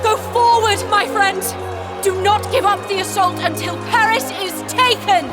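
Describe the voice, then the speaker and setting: An extremely high-pitched young warrior maiden cries out over loud music. She is fervent and commanding, urgently rallying troops in a historical audio drama. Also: fairly even intonation, normal speed, a loud crowd. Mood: fierce, rallying.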